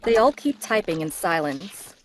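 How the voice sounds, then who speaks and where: A woman's voice: lowish voice, sing-song, moderate pace, slight background noise, rough recording. A woman narrating scripted stage directions at a table read.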